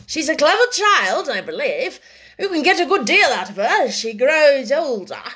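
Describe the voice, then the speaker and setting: Storybook role: sly, calculating businessman played by a female reader, unperturbed, dry, with animated intonation. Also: high-pitched, moderate pace, pitched above the performer's own voice, heavily acted. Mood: sly, calm. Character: shrewd, unruffled, sinister businessman, a calculating man. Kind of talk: dramatised storybook reading.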